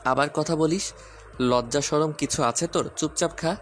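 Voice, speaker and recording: A man playing a teasing, romantic husband and protective father who can turn gruff, in an audio story drama. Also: on the high side, normal speed, faint music behind, close to an everyday voice. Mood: annoyed, scolding.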